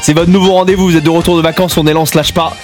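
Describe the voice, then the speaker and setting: A man hosting a radio game show, animated and often laughing, reading quiz questions in halting German. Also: a little high, soft background music.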